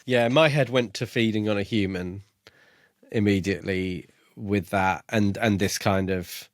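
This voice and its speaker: Low-voiced man, halting podcast chat. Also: fairly even intonation, no room sound, studio quality. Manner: tentative, halting, thinking aloud. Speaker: podcast co-host. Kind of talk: casual book discussion.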